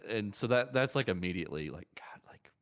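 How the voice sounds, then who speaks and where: A man's voice: on the low side, lively intonation, brisk. A man co-hosting a casual gaming podcast, thinking aloud hesitantly and often repeating his words. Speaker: podcast co-host.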